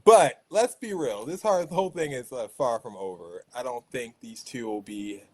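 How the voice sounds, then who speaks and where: A man's voice: medium pitch, expressive, normal speed. A man hosting an opinionated panel debate, blunt and needling in the way he talks. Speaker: host of a hip-hop debate show.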